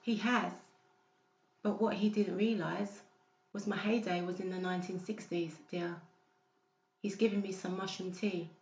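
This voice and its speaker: Casting: older woman played by a man, raised pitch, calm and wry, audiobook drama, muffled roomy recording. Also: measured pace, long pauses. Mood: composed, wry. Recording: muffled, echoey home recording.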